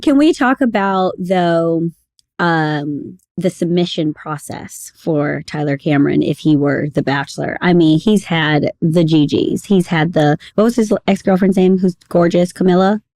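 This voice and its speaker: A playful woman chats on a podcast and teases her co-hosts. She acts out quotes in a lively voice at a middle pitch. The recording is close and dry. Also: a little slow, dry recording. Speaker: podcast co-host. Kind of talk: casual podcast chat.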